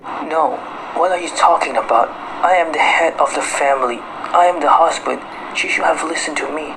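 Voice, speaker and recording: Casting: male actor as irritable, self-righteous husband for an amateur radio drama, slightly high male voice, noisy take. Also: normal speed, close to an everyday voice. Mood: indignant. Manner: irritated, domineering. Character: short-tempered, lazy, self-righteous husband.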